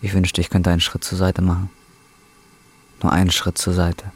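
An actor in his twenties playing a desperate, bitter, down-and-out young man in a radio drama, speaking bluntly in a husky voice. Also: deep voice, monotone, moderate pace. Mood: bleak, resigned. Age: 20s. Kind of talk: naturalistic radio drama acting.

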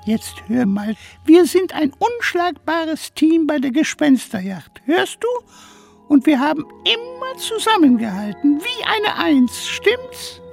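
High, swooping, good-natured little ghost. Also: female voice, big pitch swings, vocal fry, faint music behind, heavily acted. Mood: reassuring. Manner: theatrical, plaintive, drawn-out delivery. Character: good-natured, vain, harmless little ghost, loyal sidekick. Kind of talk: children's radio play acting.

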